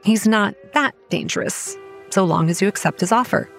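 A young woman playing a wry, anxious campground manager, giving measured first-person narration in a horror audio drama. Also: mid-range voice, creaky, soft background music, natural voice. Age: young adult.

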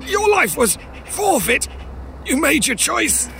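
A man's voice: very high voice, sing-song, slow, vocal fry, sharp tone, slight background noise, exaggerated voice. A man voicing an indignant, desperate trapped crow in an old-time radio style audio drama. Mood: stern indignation.

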